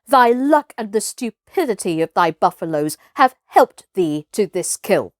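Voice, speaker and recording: Lady doing a grumpy pompous old hunter man from a storybook, scolding, unhurried, dry recording. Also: medium pitch, big pitch swings, no echo. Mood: scornful. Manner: scolding, self-important.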